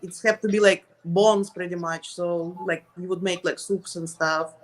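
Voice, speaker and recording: Russian-accented woman, halting English, noisy recording. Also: fairly low pitch, steady tone. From Russia, Soviet Union. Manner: halting, searching for words in non-native English. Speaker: interview guest. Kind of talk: casual interview talk.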